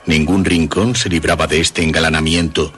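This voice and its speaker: A man playing an atmospheric storyteller, narrating a dark radio tale in measured literary phrases. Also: very deep voice, steady tone. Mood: ominous, atmospheric. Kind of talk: scripted radio horror narration.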